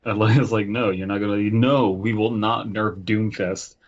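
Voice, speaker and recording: A man's voice: on the low side, fairly even intonation, normal speed, slight background noise. A man co-hosting a podcast in casual comedic chat, with joking, rambling asides, on a slightly lo-fi microphone. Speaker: podcast co-host.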